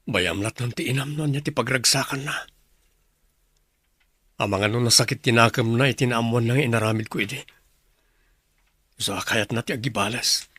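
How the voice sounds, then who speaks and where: Middle-aged father, husky, mid-pitched, gentle, resigned, dry close radio drama sound. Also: normal speed, long pauses, no room sound. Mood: hurt, resigned.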